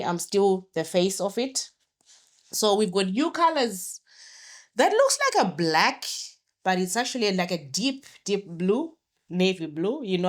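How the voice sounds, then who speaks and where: Chatty middle-aged woman from Zimbabwe, solo podcast host, lower voice, promotional, self-correcting.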